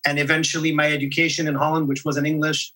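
A man's voice: on the high side, level tone, fast, pushed and bright. A man whose first language is French, a podcast guest talking reflectively, often restarting and repeating words. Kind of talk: conversational interview.

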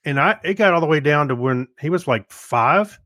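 A man's voice: fairly high pitch, expressive, moderate pace, bright, forceful tone, dry recording, clean studio sound. A middle-aged man co-hosting a podcast, chatting casually and telling personal anecdotes. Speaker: podcast co-host.

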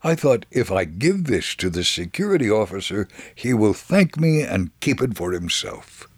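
A man playing a devout older man recounting his life in a dramatic reading, with a gravelly, husky voice. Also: on the low side, sing-song, normal speed, dry recording. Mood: reflective, devout. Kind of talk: dramatic first-person reading.

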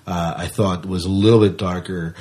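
A man hosting a podcast, chatting casually about entertainment news in a halting way. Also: low voice, steady tone, darkish tone. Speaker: podcast host. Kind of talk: casual sci-fi news chat.

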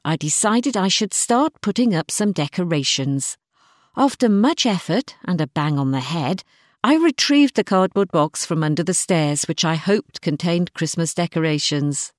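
A woman's voice: fairly low pitch, dry recording. Woman reading a short story, dry close recording. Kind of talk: scripted first-person story narration, diary-like.

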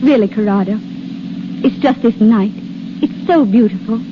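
A woman acts out a dreamy young girl, entranced and romantic, in a 1930s radio play. Her voice sits fairly high. The vintage broadcast is dull and clearly noisy. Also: moderate pace, close to an everyday voice. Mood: dreamy, wistful. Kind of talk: 1930s radio drama acting.

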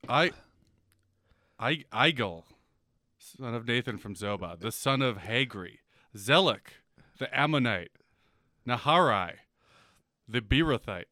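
A man's voice: mid-range voice, animated, takes it slowly, long pauses, pushed and bright, no room sound, studio quality. A young man from North America co-hosting a casual comedy podcast, profane and ranting, laughing often. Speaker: podcast co-host.

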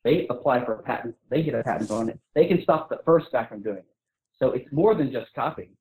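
A man with a husky, slightly rough voice at an average pitch speaks as a guest in an informal discussion. He explains his points emphatically and argues his case. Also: expressive, fairly quick, a little mellow, poor audio. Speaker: guest speaker on intellectual property.

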